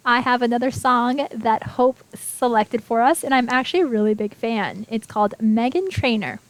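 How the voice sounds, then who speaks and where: A woman's voice: fairly high pitch, normal speed, no room sound. A woman hosting an internet radio show, chatty and bubbly, laughing often as she talks. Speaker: host. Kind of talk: casual internet radio chat.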